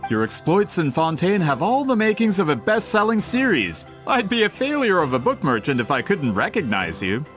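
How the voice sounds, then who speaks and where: A man's voice: high-pitched, huge pitch swings, moderate pace, hardly any pauses, fairly bright tone, faint music behind, full character voice. An actor playing a polite, eager book merchant with a theatrical salesman's manner in a video game.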